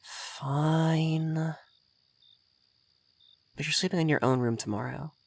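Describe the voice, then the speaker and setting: A man's voice: a little high, slow, gravelly, no room sound. A man playing a young effeminate vampire mage in roleplay ASMR, vain and refined, coy and easily flustered in an intimate delivery.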